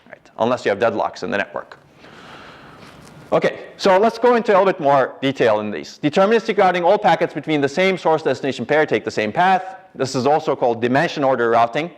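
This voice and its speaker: A middle-aged man giving a university lecture in a large hall, explaining step by step and often checking in with "right?". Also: medium pitch. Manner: explanatory, pointing at a slide, frequent "right?" and restarts.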